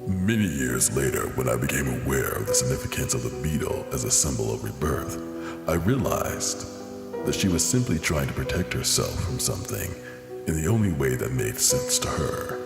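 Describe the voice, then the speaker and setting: A man voicing a haunted, melancholy man recalling his childhood in a motion comic, solemn and measured. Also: very deep voice, big pitch swings, vocal fry, exaggerated voice. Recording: long echoing reverb under loud music.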